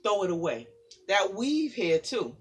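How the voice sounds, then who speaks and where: A woman performing her own poetry in a relaxed faith conversation, with a voice of middling pitch. Her delivery turns rhythmic and declamatory, leaning into the cadence of each line. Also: slightly rough. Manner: soft and halting in conversation, rhythmic and declamatory reading her poem. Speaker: poet. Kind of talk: spoken word poetry reading in a casual faith conversation.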